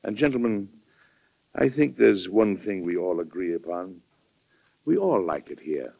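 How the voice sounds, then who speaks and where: An actor playing a dignified, fatherly president in a 1940s radio drama, speaking in a measured, earnest way. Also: low-pitched, animated, gravelly, slightly rough, dry recording, full character voice. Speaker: host narrator. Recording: dull vintage radio recording with music.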